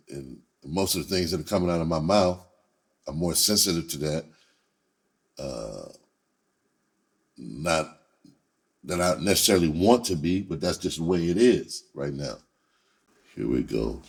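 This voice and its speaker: A man talking casually and candidly in a blunt, unfiltered, profane manner. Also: low-pitched. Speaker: entertainer, rapper and writer.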